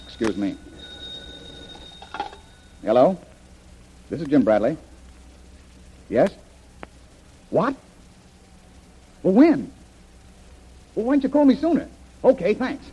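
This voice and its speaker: An actor playing a decisive, troubled adventurer man with a husky voice in an old-time radio adventure. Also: a little high, sing-song, unhurried, long pauses, slight background noise. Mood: urgent. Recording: dull old radio recording.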